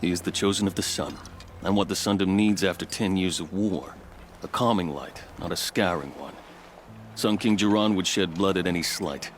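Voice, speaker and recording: Low-voiced, composed fort officer played by a man. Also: moderate pace, slight background noise, lightly acted. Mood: earnest, reverent. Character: composed, devout fort officer loyal to his king. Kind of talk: video game voice acting. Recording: music behind the dialogue. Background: music.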